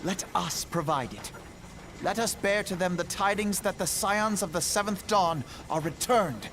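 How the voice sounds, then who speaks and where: An actor playing a solemn, resolute young male scholar-leader in a game cutscene, speaking in a formal, measured way. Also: high voice, a bit bright. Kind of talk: voiced video game cutscene. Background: game music.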